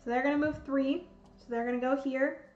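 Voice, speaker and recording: A young woman, losing her voice, slowly talks herself through a solo board game at home, counting out pieces as she plays. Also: high voice, fairly even intonation. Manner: slow, thinking aloud, counting pieces. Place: home room with bookshelves, indoors. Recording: roomy, slightly dull sound, soft music.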